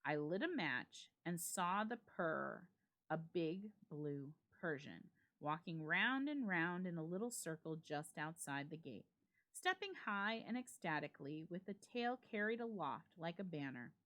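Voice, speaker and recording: A woman giving a dramatic, measured reading of a classic ghost story, with emphatic phrasing. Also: fairly low pitch, expressive, fairly bright tone. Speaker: library staff reader.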